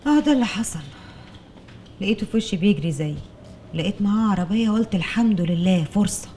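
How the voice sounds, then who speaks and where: A woman's voice: medium pitch, expressive, quick pace, noisy background, natural voice. An actress with an Egyptian Arabic accent playing a curious, musing woman confiding in a friend in a TV drama. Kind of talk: scripted TV drama dialogue.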